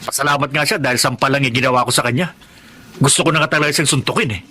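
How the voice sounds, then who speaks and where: A man's voice: medium pitch, very fast, bright, forceful tone. A man playing a stubborn, resentful young husband arguing in a radio drama. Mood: angry, defiant. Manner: defiant, indignant. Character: stubborn, resentful married son. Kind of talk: Tagalog radio drama acting.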